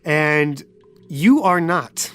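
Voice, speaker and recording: A woman's voice: sits low, expressive, very slow, fairly bright tone. A woman narrating a tabletop roleplay podcast as game master.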